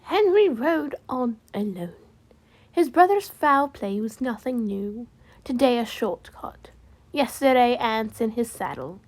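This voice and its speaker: A woman's voice: fairly high pitch, lively intonation, takes it slowly. A woman reading scripted story narration as a student volunteer in readers theater.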